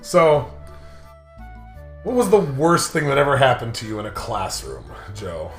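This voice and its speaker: A man's voice: mid-range voice, sing-song, measured pace, a bit bright. A man co-hosting a comedic let's play, reading game text aloud with mock drama and jokey, casual banter. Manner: playful, mock-dramatic, jokey, often laughing. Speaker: co-host of a visual novel let's play. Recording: roomy echo with music behind.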